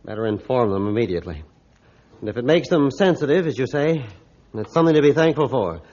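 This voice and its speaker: Man acting grim ship captain, grave and deliberate, old radio play, muffled recording. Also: medium pitch, expressive, a little mellow, close to an everyday voice. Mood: stern, resolved. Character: grim, realistic male captain of an icebound ship. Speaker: ship's captain. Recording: dull, band-limited old radio recording.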